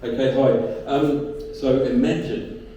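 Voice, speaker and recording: A man giving a short pitch slowly and deliberately, halting now and then with fillers. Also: fairly even intonation, lo-fi sound. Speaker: startup founder pitching. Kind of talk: startup pitch. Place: event venue. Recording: echoey room, from far off, faint noise.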